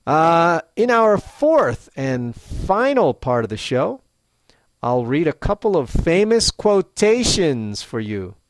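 A man hosting a podcast for English learners, speaking slowly and clearly with frequent fillers. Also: fairly high pitch, very animated, dry recording. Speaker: podcast host and English teacher.

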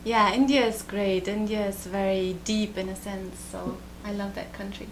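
A woman from Japan, a guest in an interview, speaking in a thoughtful, soft-spoken way with frequent pauses. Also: medium pitch, steady tone, slight background noise, poor audio. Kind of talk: relaxed interview conversation.

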